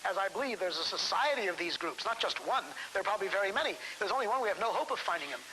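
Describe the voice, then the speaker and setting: A man argues his view on a panel in a high, bright voice. He talks quickly and with animation, over a faint hiss. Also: lively intonation, low-quality recording. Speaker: symposium panelist.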